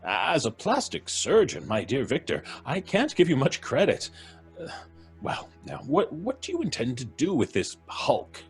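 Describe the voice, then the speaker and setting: An actor playing a genteel, moralising older professor in old-time radio horror style, husky, fussy and stammering. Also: male voice, mid-range voice, wildly swooping pitch, unhurried, soft background music. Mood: dubious.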